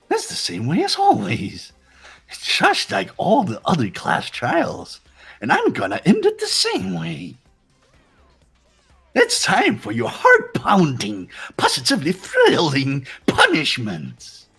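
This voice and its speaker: Gleeful evil game mascot, raspy n taunting, sing-song, voice flying all over the place. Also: male voice, high-pitched, wildly swooping pitch, slow pace, long pauses, bright, forceful tone, faint music behind, pitched above the performer's own voice, exaggerated voice. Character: gleefully sadistic mascot villain, playful and mocking. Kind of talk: hobby voiced game playthrough.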